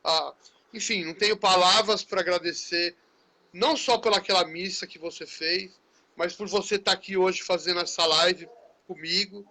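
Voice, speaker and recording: A man, a teacher hosting a live-stream chat. His voice is high for a man and bright and forceful. He talks in a chatty, friendly way, tagging many phrases with a little questioning "né". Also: steady tone, normal speed. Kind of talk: casual conversation in a live stream.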